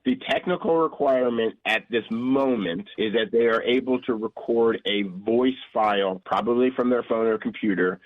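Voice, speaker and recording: A man's voice: on the low side, moderate pace, hardly any pauses, no echo. Earnest middle-aged man, dull radio sound. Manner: rambling, earnest, self-correcting. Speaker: company founder, guest. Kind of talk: radio interview answers. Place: radio studio.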